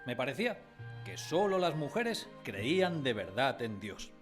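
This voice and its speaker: A man playing a narrator who fondly recalls his village schooldays, in expressive scripted radio drama storytelling. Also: medium pitch, a little slow, soft background music. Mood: reminiscent.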